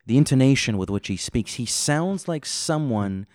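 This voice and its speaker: A man co-hosting a casual book discussion, relaxed, with joking asides. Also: medium pitch, normal speed, no room sound, clean studio sound. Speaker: podcast co-host.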